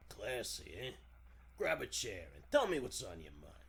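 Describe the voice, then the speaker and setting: Man acting a gravelly, smug gangster boss. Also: mid-range voice, wildly swooping pitch, moderate pace, a bit bright, full character voice. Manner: slangy, drawling, menacing. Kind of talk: 1940s radio acting.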